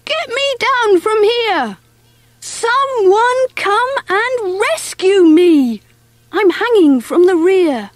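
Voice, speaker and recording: A woman's voice: very high voice, lively intonation, slow pace, dry recording, pitched above the performer's own voice, exaggerated voice. A woman voicing a dramatic, indignant little male mouse in a rhyming children's story, exclaiming and easily flustered. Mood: frantic, cross. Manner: exclaiming, stammers when flustered.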